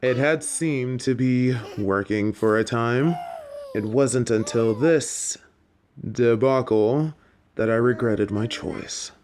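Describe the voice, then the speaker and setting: Man acting a male professor, fantasy audio drama, measured, very unhurried, dry, formal, exasperated. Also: mid-range voice, darkish tone, natural voice. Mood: regretful, defensive. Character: deferential but exasperated male magic-school professor.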